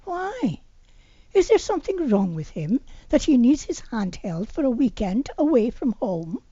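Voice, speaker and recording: A woman's voice: a little high, sing-song, a little slow, warm tone, husky, dry recording, highs cut off, pitched above the performer's own voice, full character voice. A woman reading the lines of a wry, stubborn younger heroine in an amateur audiobook. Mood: adamant. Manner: wry, firm. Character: adult woman interior designer, guarded, wry, stubborn. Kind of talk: amateur audiobook character dialogue.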